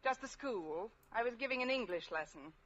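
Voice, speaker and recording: An American actress playing a wry, wisecracking schoolteacher with dry, sardonic delivery in an old-time radio comedy. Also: female voice, a little high, expressive, moderate pace, a bit bright. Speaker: radio sitcom lead actress. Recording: muffled, noisy old radio recording.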